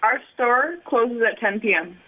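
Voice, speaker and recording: A woman's voice: a little high, a little slow. A female store employee answering the phone with brief, matter-of-fact customer service replies. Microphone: telephone. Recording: tinny phone line, faint noise.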